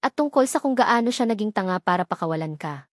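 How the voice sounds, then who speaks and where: A woman's voice: medium pitch, expressive, quick pace, no echo, studio quality, natural voice. A woman with a Filipino accent voicing a friendly, frank younger sister in a narrated romance story. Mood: friendly, teasing. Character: friendly, lively younger sister of a rich man.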